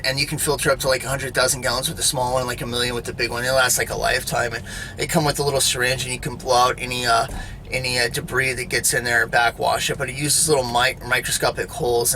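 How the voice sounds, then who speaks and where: Male preacher, loose conversational sermon, bright voice, faint background hum. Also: medium pitch, low-quality recording. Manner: rambling, repeats "all right?" and "you know". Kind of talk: casual spoken sermon to camera.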